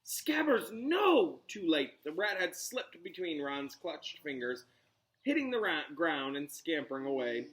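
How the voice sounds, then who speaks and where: A man reading a storybook aloud like a teacher, expressive and dramatic, pausing on key words. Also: a little high, rough recording.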